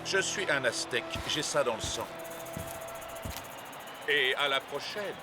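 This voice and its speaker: A man's voice: mid-range voice, lively intonation, a little slow, lightly acted. Man acting a proud giant cartoon goalkeeper. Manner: self-assured, ominous. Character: giant, proud, imposing male Aztec goalkeeper. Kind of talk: dubbed cartoon dialogue. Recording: music behind the voice.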